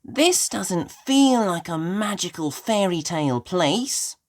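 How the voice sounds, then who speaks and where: A woman's voice: lowish voice, big pitch swings, takes it slowly, a little mellow, pitched above the performer's own voice. A woman voicing a cheerful, imaginative little girl pig in a children's storybook, eager and sing-song. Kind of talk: read-aloud children's storybook voice.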